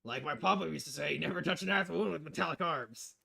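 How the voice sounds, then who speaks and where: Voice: medium pitch, brisk, gravelly, crowd noise. A streamer voicing a blunt, pompous, middle-aged male newspaper editor in a visual novel game. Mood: brash, insulting.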